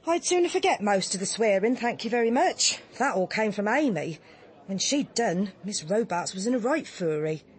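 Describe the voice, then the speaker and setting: A woman's voice: medium pitch, expressive, normal speed, crowd noise, lightly acted. An actress playing a blunt, chatty English village woman in a radio drama, telling her account in colloquial, indignant style. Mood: indignant, relishing. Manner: colloquial, gossipy, indignant storytelling.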